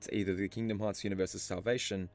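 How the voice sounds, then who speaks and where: A man with a fairly low voice narrates a scripted lore explainer over a music bed. His delivery is measured and reflective, often framed as musing questions. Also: fairly even intonation, vocal fry, darkish tone. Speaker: video essay narrator. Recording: music under the voice. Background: background music.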